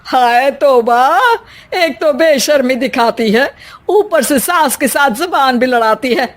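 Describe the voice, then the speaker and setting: A woman's voice: sits high, slow pace. A woman voicing a scandalized, traditional middle-aged mother-in-law who scolds indignantly in a cartoon story. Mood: scolding. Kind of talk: animated cartoon story dialogue.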